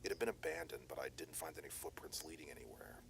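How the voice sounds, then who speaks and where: A man voicing a steady private detective from an analog horror tape, his voice extremely low and his delivery quick but formal. He stays measured and reassuring, with faint noise under the recording. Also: creaky, exaggerated voice, low-quality recording. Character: calm male private detective, steady, methodical. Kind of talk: analog horror audio drama.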